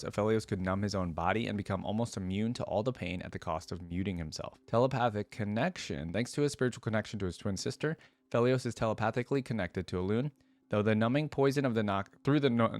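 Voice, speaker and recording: A man with a fairly low voice reads aloud at a quick clip in a dry, close-sounding recording. He slips between reading and casual commentary, thinking aloud with curiosity like a reaction creator. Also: no room sound.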